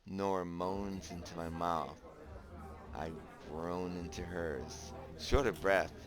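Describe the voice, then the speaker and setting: A smitten young romantic hero in a visual novel, with music clearly behind him. His voice is low, and he speaks with earnest, dramatic, teasing sincerity. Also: fairly even intonation, takes it slowly. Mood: tender, awed. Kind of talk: visual novel voice acting with narration. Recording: background music under the voice.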